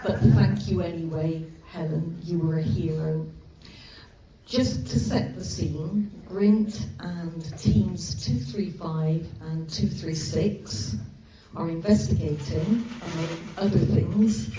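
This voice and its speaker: English author with a Gloucestershire accent reading to a live audience, unhurried, distant mic in an echoey hall. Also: female voice, lowish voice, noisy background. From England. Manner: chatty and self-deprecating, frequent ums. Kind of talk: live reading of her own novel.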